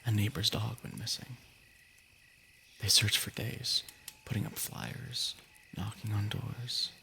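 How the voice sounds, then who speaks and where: A man with a gravelly, creaky voice casually reading stories as a livestream host, informal and soft-edged. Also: on the low side, steady tone, slow, a little hiss or hum.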